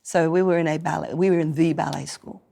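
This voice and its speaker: An Australian woman from Newcastle, hoarse and croaky after singing sick the night before, telling stories playfully in a casual interview. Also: deep voice, fairly even intonation, fast, dark tone. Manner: playful, theatrical storyteller with frequent laughs. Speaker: guest performer.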